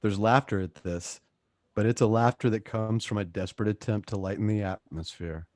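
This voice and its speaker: A low-pitched man reads dramatic narration for a radio play. The sound is dry and close, with hardly any room in it. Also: steady tone, normal speed, a little mellow, no room sound.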